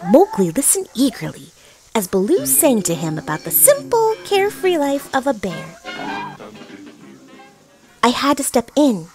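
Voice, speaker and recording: A woman's voice: sits high, wildly swooping pitch, a little slow, long pauses, heavily acted, poor audio. A woman voicing an earnest panther guardian who tells a storybook tale with dramatic expression. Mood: earnest storytelling. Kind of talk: scripted storybook narration. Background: music.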